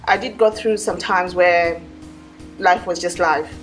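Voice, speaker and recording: Woman from zimbabwe, lowish voice, calm testimony, distant mic, faint music. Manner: calm, measured, with ums. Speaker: church member giving baptism testimony. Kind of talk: personal testimony.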